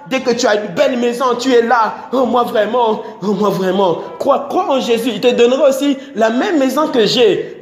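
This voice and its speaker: Male preacher, high voice, repetitive exhorting delivery, roomy sound with soft music behind. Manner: repetitive, exhorting, swings between loud and soft. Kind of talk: sermon. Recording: echoey room with faint music.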